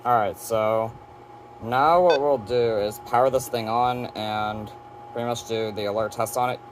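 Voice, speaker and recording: A man's voice: medium pitch, fairly even intonation, unhurried, background noise. A man giving a casual, rambling, offhand hands-on demo of his collection, presenting as a hobby collector. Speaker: weather radio collector presenting.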